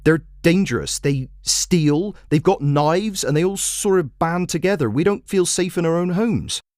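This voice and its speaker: A man's voice: fairly high pitch, animated, a little slow, a little mellow, dry recording, clean studio sound, pitched above the performer's own voice. A man voicing a defensive, bigoted radio caller in his forties, blunt and grumbling. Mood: angry, defensive.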